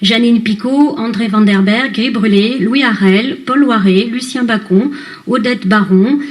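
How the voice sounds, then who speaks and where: A woman's voice: fairly high pitch, a little slow. Woman presenting at a council meeting. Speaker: local council official presenting agenda items. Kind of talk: formal meeting presentation with unscripted explanations.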